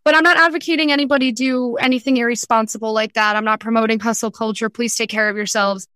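A young woman chatting casually as an interview guest, talking in an animated, candid and emphatic way. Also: a little high, quick pace, no echo, clean studio sound. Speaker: podcast guest, freelance entrepreneur.

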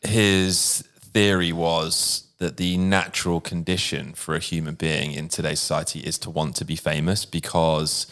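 A man's voice: a bass voice, little pitch movement, moderate pace, hardly any pauses, fairly bright tone. A man in a casual discussion, agreeable and chatty, sharing anecdotes.

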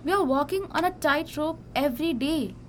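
Girl playing an unhappy young wife in a radio play, reproachful, high pitched, bit of noise. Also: moderate pace, close to an everyday voice. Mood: bitter, reproachful. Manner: reproachful, sighing, dissatisfied. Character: discontented, complaining young wife longing for luxury. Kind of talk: amateur radio drama acting. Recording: noisy amateur recording.

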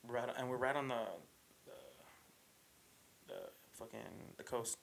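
A man's voice: medium pitch, a little slow, vocal fry. A young man hosting a podcast in casual chat. He swears freely, laughs often and keeps calling his guest "bro.". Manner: loose and profane, laughs often, says "bro" a lot. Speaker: podcast host.

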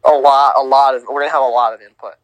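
A young man co-hosting a casual sports podcast, teasing and lively, on a home mic. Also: medium pitch, fast, dry recording, poor audio. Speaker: podcast co-host.

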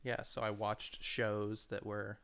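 A man in his twenties, co-hosting a podcast, talking in relaxed, reflective, hedged run-on sentences. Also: on the low side, slow pace, gravelly, clear, resonant tone. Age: 20s. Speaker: podcast co-host. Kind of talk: casual chat.

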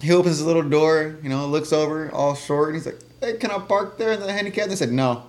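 A man's voice: a little high, fast talker. A young man co-hosting a casual podcast chat, telling stories and acting out what other people said. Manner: storytelling, acts out others' lines. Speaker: podcast co-host.

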